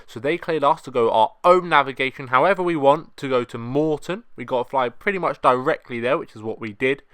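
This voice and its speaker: A man's voice: medium pitch, a little fast, fairly bright tone, clear, resonant tone, no room sound. A young British man, a student pilot, narrating an explanatory vlog voice-over.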